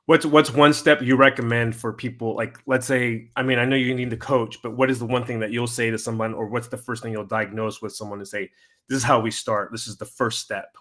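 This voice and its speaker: A man hosting a casual interview, haltingly repeating and rephrasing his questions as he goes. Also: mid-range voice, fairly even intonation, fairly bright tone. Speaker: interviewer, host.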